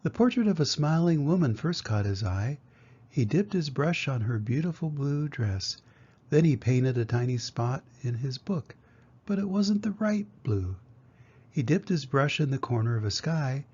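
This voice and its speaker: A man's voice: medium pitch, animated, moderate pace, darkish tone, husky. Older man reading a storybook, muffled sound. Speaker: grandfather reading picture books to children. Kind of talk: storybook read-aloud narration. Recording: dull lo-fi home recording.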